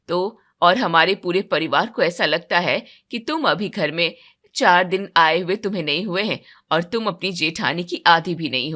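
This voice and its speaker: Stern, commanding older mother-in-law for a family audio drama, pitched down, forceful tone played by a woman. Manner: firm, commanding. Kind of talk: acted dialogue in a Hindi audio story.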